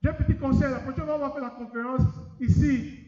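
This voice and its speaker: A middle-aged man preaching a lively sermon, talking straight to the congregation in a conference hall. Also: extremely high pitch, quick pace. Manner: lively, addresses the congregation directly. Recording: echoey hall, dull sound.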